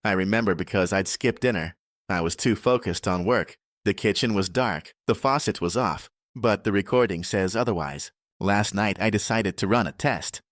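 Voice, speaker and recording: A man narrating a scripted first-person horror audiobook in a measured, deliberate way. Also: fairly low pitch, no room sound, studio quality.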